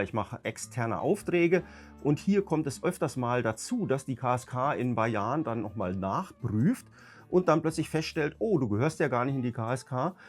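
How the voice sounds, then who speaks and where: A man with a cold, hoarse and raspy, ranting emphatically as a YouTube host talking casually to his viewers. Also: mid-range voice, a little mellow. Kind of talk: casual vlog talk to viewers.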